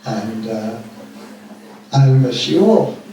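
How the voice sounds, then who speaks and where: A man giving an informal, sincere thank-you speech in a halting way. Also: mid-range voice, expressive, mellow. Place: large echoey indoor hall, recorded from far off. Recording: echoey hall, distant mic, noisy amateur recording.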